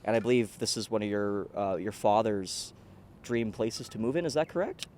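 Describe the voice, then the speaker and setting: A man's voice: on the low side, expressive, normal speed, a little mellow, slight background noise. A man hosting a casual podcast interview, asking questions in a friendly, encouraging way. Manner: friendly, affirming. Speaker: podcast host.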